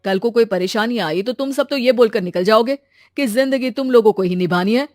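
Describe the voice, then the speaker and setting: A woman voicing an earnest, reasonable young man in an animated family story. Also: fairly high pitch, fairly quick, dry recording, clean studio sound, lightly acted. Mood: arguing.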